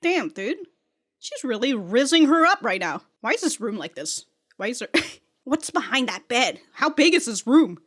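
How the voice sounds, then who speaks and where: A woman's voice: fairly high pitch, sing-song, normal speed, a bit bright. A woman giving casual, slangy, sweary and giggly reaction commentary as host of a reaction video.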